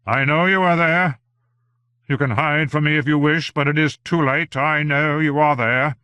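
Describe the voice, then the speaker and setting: A man voicing a paranoid, deranged gentleman magician in a storybook, intense and defiant. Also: lowish voice, expressive, normal speed, smooth, no room sound. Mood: defiant shouting.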